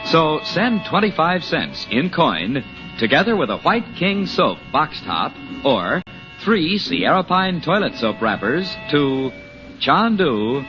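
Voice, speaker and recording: A man announcing and narrating in scripted 1940s radio style, dramatic and emphatic. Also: fairly high pitch. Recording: muffled old radio recording, music behind. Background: music.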